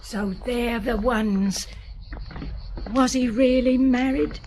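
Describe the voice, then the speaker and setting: A woman's voice: a little high, fairly even intonation, takes it slowly, a little mellow, slight background noise. An actress playing a frank, plain-spoken young woman in a radio drama.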